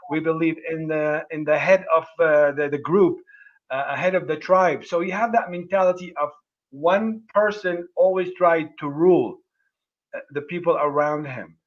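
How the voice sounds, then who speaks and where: A man hosting a livestream talk, his voice sitting a little high for a man. He speaks in halting bursts, restarting phrases as he hunts for the right words. The stream audio is dull and lo-fi. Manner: halting, many restarts while searching for words. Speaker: host. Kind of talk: livestream conversation.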